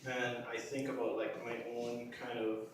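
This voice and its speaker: A man from Haiti and the Bahamas talks informally as an artist about his work. He thinks out loud in filler-laden phrases. The recording is very reverberant and distant, with clear noise behind him. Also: medium pitch, little pitch movement, measured pace. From born in Haiti, grew up in the Bahamas. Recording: echoey room, distant mic, clear background noise.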